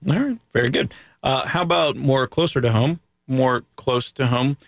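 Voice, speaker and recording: A man's voice: medium pitch, moderate pace, gravelly, dry recording. A man hosting a casual, joking interview chat. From Greek family background. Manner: joking, conversational. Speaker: host.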